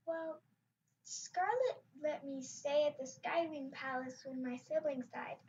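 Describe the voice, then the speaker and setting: A lonely young female mudwing dragon, voiced by a woman for a read-aloud storybook, soft and subdued. Her voice is high and sounds set back from the mic. The recording is dull, with faint background noise. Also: measured pace, far from the mic.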